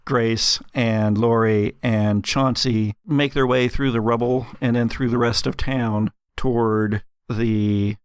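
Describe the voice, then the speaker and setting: An American man narrating an improvised tabletop game as game master, in a halting manner. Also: mid-range voice, steady tone, clean tone, no room sound, studio quality. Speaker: game master of an actual-play podcast.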